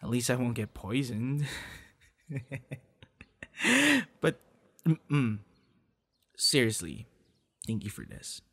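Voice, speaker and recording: A young man playing a tender, hesitant suitor in an intimate ASMR boyfriend roleplay, speaking softly and haltingly. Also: medium pitch, expressive. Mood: gentle, reassuring. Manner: soft, hesitant, with sighs and chuckles. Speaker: audio roleplay voice actor.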